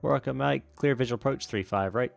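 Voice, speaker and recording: A man acting as a virtual air traffic controller, switching between radio phraseology and casual, relaxed chat to a stream. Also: medium pitch, fast, vocal fry, faint music behind.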